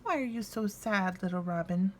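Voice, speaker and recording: A woman voicing a gentle, loving mother bear in a storybook. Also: medium pitch, moderate pace, a little hiss or hum, lightly acted. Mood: tender, concerned.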